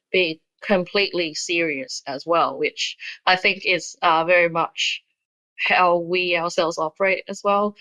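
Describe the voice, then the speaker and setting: Low-voiced woman, even and dry. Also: no room sound, poor audio. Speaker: magazine editor being interviewed. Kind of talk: casual interview talk.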